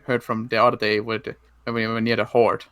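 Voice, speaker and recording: A man playing a cautious ex-military zombie apocalypse survivor in an improvised game roleplay, speaking haltingly and often rephrasing. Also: on the low side, monotone, a bit bright, no room sound, natural voice. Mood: cautious, serious.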